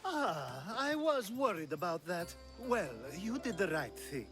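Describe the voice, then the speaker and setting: A man's voice: sits high, sing-song, unhurried, over music. Sly, flirtatious, swooping Greek nobleman. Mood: smug. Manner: playful, teasing, theatrical. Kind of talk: video game cutscene acting.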